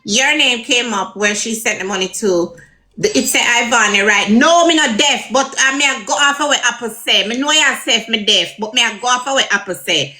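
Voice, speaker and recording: A woman's voice: a little high, moderate pace, pushed and bright. A woman from Jamaica with a Jamaican accent, chatting casually and reading out viewer comments. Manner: laid-back, reads out viewer comments. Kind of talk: casual patois chat.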